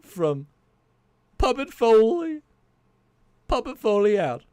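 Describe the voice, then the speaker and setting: A huffy male puppet and washed-up Shakespearean ham, as a man puts him on, talking in a fake Southern colonel drawl. He swings up and down in pitch, sits well above the performer's usual voice and drags his words out slowly. He is theatrical, insulting and full of self-pity, and the sound is dry and close. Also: big pitch swings, dark tone, clean tone, dry recording, exaggerated voice. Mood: blustering. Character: grumpy male puppet, ex-Shakespearean actor turned chicken colonel. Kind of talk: comic puppet bit on a livestream.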